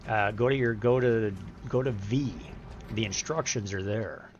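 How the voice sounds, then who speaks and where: Deep-voiced middle-aged man, dull lo-fi mic. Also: measured pace, slight background noise. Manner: rambling, explains patiently, reads menu items aloud. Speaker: live streamer. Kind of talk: casual gaming stream chat.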